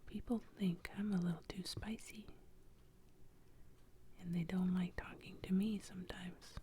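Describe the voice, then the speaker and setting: A kind, friendly jalapeno from a bedtime storybook who reassures a friend, played by a woman. He speaks in a slow, soothing whisper with a mellow tone. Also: lowish voice, steady tone, a little hiss or hum, natural voice. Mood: comforting.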